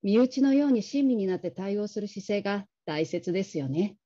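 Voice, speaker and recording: Woman acting a very mellow, courteous manager. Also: medium pitch, lively intonation, lo-fi sound, natural voice. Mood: earnest, measured. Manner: gentle, measured, courteous. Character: polite, caring, discreet female call-centre manager. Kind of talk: radio drama reading.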